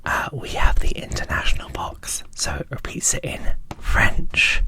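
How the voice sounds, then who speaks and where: A woman whispering softly and a little hesitantly in an ASMR unboxing style. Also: measured pace. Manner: soft, hushed, hesitant. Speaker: ASMR video creator.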